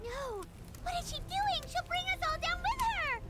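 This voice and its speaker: A woman voicing a small, anxious, childlike companion in a video game, squeaky, worried and expressive, with music behind. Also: moderate pace. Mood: alarmed. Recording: game dialogue under loud music.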